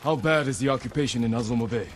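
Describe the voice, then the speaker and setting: An actor playing a grave, resolute samurai lord in a video game cutscene, terse and measured, a man of command. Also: mid-range voice, a little hiss or hum, lightly acted.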